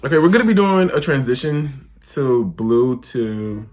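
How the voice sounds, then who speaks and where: A man plays a joking interviewer, teasing his sister with questions while he works on her makeup. His voice sits at a middle pitch for a man, and soft music plays in the background. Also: expressive, a little slow. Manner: playful mock-interviewer, teasing. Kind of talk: casual chat.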